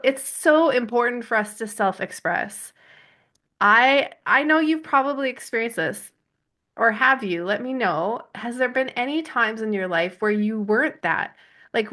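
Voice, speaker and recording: A woman hosting a podcast interview, talking in an effusive, heartfelt way and pausing to search for her words. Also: medium pitch, pushed and bright. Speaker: podcast host.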